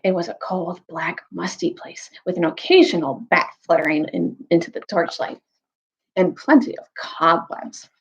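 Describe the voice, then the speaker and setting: A woman reading and retelling a story aloud in an expressive storytime style, like a teacher with students. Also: lowish voice, normal speed, vocal fry, highs cut off. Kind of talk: storytime read-aloud with recap.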